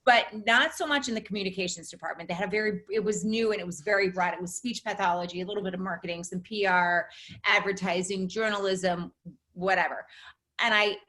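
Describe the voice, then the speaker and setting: A woman from the Chicago suburbs with a slight New Jersey accent, telling her story as a podcast guest in a lively, emphatic, self-deprecating way. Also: medium pitch, fairly quick. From Illinois, Chicago suburbs. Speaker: on-camera consultant, podcast guest. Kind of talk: casual interview chat.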